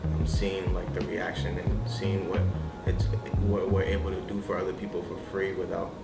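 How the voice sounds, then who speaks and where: A man's voice: deep voice, little pitch movement, moderate pace, vocal fry. A young man from Massachusetts, an entrepreneur, speaking earnestly and reflectively in a profile interview with hesitant restarts. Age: young adult. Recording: echoey room, distant mic, loud music bed. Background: loud background music.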